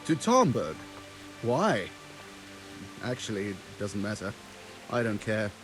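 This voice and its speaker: A man's voice: fairly low pitch, very animated, slow, music in the background, low-quality recording. A man acting an offhand medieval village beer seller in a video game, breezy and dismissive.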